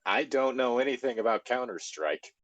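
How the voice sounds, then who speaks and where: A man's voice: mid-range voice, animated, normal speed, fairly bright tone, no room sound. A man in a casual group chat, reading a story aloud with sweary, self-deprecating asides.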